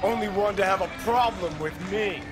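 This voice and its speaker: Game role: defiant rock rebel played by a man, cocky, higher-pitched, loud music behind. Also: normal speed, crowd noise, close to an everyday voice. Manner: cocky, combative, profane. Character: brash, defiant, egotistical rebel rock frontman. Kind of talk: video game voice acting.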